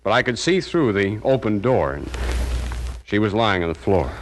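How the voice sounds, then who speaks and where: An actor playing a nervous, pleading out-of-town husband in a 1950s radio drama. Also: male voice, lowish voice, sing-song, normal speed, slight background noise, poor audio. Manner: stammering, pleading, hesitant.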